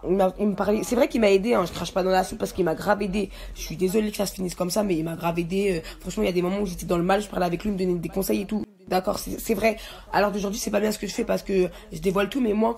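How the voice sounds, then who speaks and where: A woman's voice: mid-range voice, creaky, darkish tone. A young woman giving a casual, slangy rant to her followers, defiant and emphatic, repeating herself to make her point. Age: young adult. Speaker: social media personality telling her side of a story.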